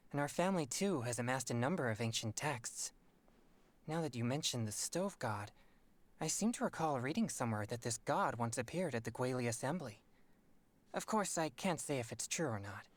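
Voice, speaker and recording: A woman's voice: extremely low pitch, animated, moderate pace. A woman voicing an earnest, reserved and polite teenage boy exorcist in a video game. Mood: calm, earnest.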